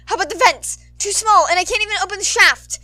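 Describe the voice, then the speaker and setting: A woman's voice: very high voice, quick pace, pushed and bright, dry recording, pitched above the performer's own voice. A woman voicing panicked, cornered school board members, frantic and urgent, in a fan fiction audiobook.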